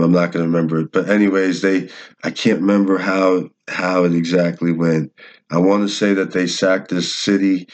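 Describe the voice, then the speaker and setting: A man who reads aloud from a book and adds informal, rambling commentary. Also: deep voice. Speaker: channel host reading a book. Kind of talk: book reading with casual commentary.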